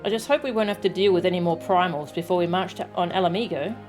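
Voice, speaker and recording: A woman chatting casually and musingly as she streams a game, pausing and hesitating as she thinks aloud. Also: lowish voice, a little mellow. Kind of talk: casual live game stream chat with dialogue read aloud. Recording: music behind the voice. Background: game music.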